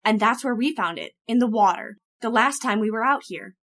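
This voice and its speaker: A woman's voice: a little high, brisk, fairly bright tone. A woman playing an anxious, suspicious friend in an old-time radio horror play, urgent and dramatic. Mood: accusing.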